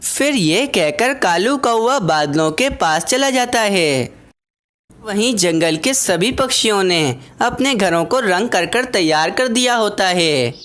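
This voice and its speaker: Medium-pitched woman narrating children's stories. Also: lively intonation, slow pace, a bit bright. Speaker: story narrator. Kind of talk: scripted children's story narration.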